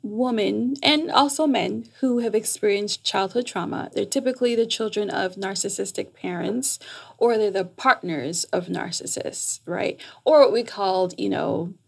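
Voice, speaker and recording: Therapist guest, woman in her thirties, Jamaican-born, articulate, slightly husky. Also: mid-range voice, moderate pace. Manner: articulate, explanatory, laughs easily. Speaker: psychotherapist guest. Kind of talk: casual podcast interview.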